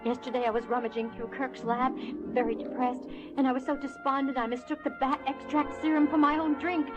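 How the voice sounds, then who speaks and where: A woman's voice: sits high, flat delivery, fairly quick, natural voice. A woman playing a fragile, remorseful wife in a 1970s superhero audio drama, pleading melodramatically. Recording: dull 1970s record with music.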